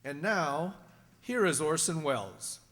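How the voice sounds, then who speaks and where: A man plays a formal announcer from old-time radio, his voice a little high. He delivers scripted announcements in a hall with long reverb. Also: animated, takes it slowly, fairly bright tone.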